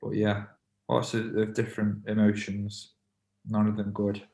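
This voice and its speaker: Calm man in an echoey room. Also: lowish voice, measured pace, vocal fry. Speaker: interviewee, football fan. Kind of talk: documentary interview.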